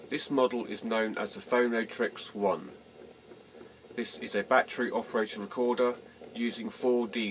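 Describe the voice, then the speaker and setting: A man reading a scripted test narration in a measured, deliberate way, as a presenter demonstrating equipment. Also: fairly low pitch. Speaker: presenter of a vintage tape recorder demo. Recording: noisy vintage reel-to-reel test recording.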